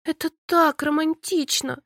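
A woman doing a bright, wheedling young mermaid princess. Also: high voice, fairly even intonation, no room sound, studio quality, pitched above the performer's own voice. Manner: bright, quick, wheedling, pouty.

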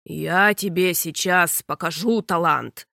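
A woman voicing a stern, blustering sea king father who scolds slowly and heavily. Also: fairly bright tone, no room sound, clean studio sound. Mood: angry scolding. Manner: booming, scolding, drawn-out.

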